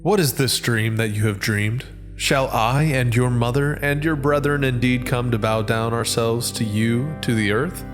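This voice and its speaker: A man voices an aged Hebrew patriarch in an audio Bible drama. He is a solemn father with a mid-pitched voice, declaiming old scripture phrases over faint music. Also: fairly even intonation, close to an everyday voice. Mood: indignant rebuke. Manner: slow, solemn, archaic declaiming.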